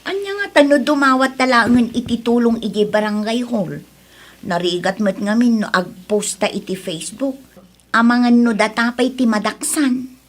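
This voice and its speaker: A woman's voice: fairly high pitch, moderate pace, close to an everyday voice. A woman acts as an earnest young daughter with an Ilocano accent. She sounds plaintive and wronged yet stays polite, in a radio-drama performance. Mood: troubled.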